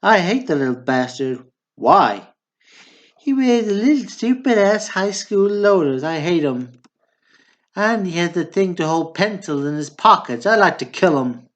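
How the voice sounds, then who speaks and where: A man's voice: high-pitched, expressive, a little slow, dull audio. A man acting in a stage play as one of two blunt, bantering Texan brothers, one a drunk war veteran. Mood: bitter, griping.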